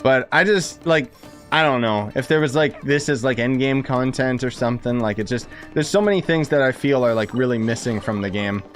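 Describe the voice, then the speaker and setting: A man's voice: mid-range voice, a little fast. A man streaming a game with casual, opinionated, sardonic commentary. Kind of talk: casual live gameplay commentary. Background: game music.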